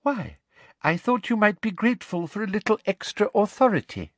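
A man's voice: high-pitched, expressive, normal speed, no room sound, voice pushed up. A man voicing a smug female school official with a simpering, poisonously sweet, prim manner for an audiobook. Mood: falsely sweet. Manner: sugary, simpering, prim throat-clearing.

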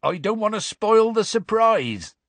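Man playing a husky, genial, gruff giant. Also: lowish voice, big pitch swings, moderate pace, pushed and bright, no echo, full character voice. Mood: cheerfully secretive. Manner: folksy, colloquial, breezily reassuring.

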